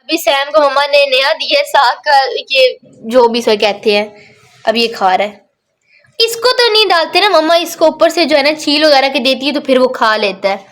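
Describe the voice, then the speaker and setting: A woman's voice: sits high, animated, moderate pace, fairly bright tone. A woman narrating a casual home vlog in a chatty, affectionate way, often talking to her cats. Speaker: vlogger.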